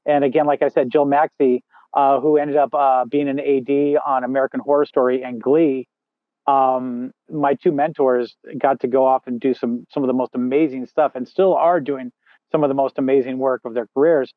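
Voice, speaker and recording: A man with a big booming voice, a film assistant director chatting as an interview guest, rambling with many fillers and restarts. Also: little pitch movement, normal speed, dry recording. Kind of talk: casual interview chat. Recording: dry tinny phone-like sound.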